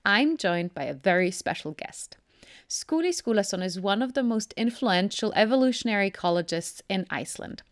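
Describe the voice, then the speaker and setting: A woman's voice: mid-range voice, expressive, moderate pace, dry recording, clean studio sound. A woman hosting a podcast, reading a scripted introduction in an upbeat, enthusiastic way. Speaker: podcast host.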